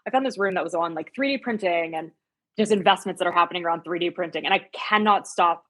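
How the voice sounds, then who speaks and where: A woman's voice: fairly low pitch, fast, a bit bright. A woman from the United States and Germany talking casually as an interview guest, chatty and emphatic, repeating words for stress. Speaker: real estate agent, podcast guest.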